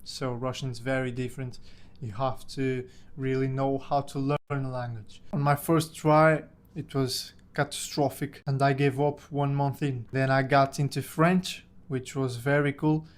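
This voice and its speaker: Young guy from portugal, native portuguese speaker, chatty vlog style, medium pitch, pretty even melody. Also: unhurried. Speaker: language-learning content creator. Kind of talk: casual personal storytelling to camera.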